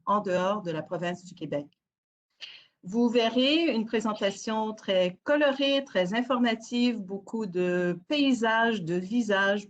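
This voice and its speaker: A woman working as an embassy immigration officer, opening a webinar in an upbeat manner with frequent fillers. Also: medium pitch. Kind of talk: webinar introduction.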